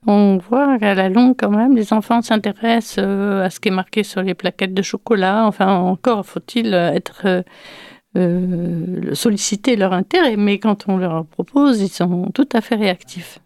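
A woman's voice: medium pitch, darkish tone, dry recording, clean studio sound. A woman speaking as a guest on a radio program, hesitating often with fillers while she explains things. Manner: hesitant, many pauses and fillers. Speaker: guest from an association. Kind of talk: radio interview.

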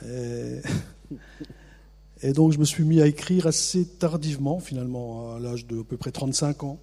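A middle-aged man from the Vosges with a local accent, an author answering interview questions in a relaxed, self-mocking way with many hesitations. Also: medium pitch, unhurried. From Vosges, France. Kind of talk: radio interview.

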